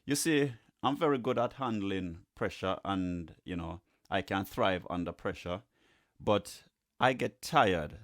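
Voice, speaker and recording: Male host from Jamaica for reflective radio monologue: lowish voice, pensive pauses, very dry recording. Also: a bit bright, no echo. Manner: pensive, halting, pausing often. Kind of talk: reflective unscripted monologue between songs.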